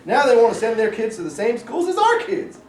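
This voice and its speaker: A man's voice: very high voice, sing-song, fairly quick, darkish tone. A man in a staged reading playing an agitated, folksy segregationist state official who sounds alarmist and emphatic. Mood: indignant. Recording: roomy, distant mic, faint noise.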